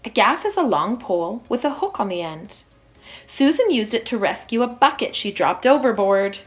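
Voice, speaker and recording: A woman reading a children's story aloud like a primary teacher, with expressive, emphatic phrasing for young listeners. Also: on the high side, moderate pace.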